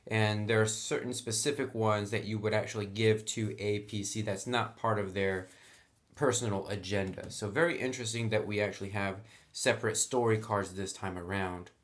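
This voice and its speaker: A man's voice: on the low side, steady tone, moderate pace. A man hosting an informal review, explaining things step by step in a conversational, explanatory way. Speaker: game reviewer, host. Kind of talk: informal product review commentary.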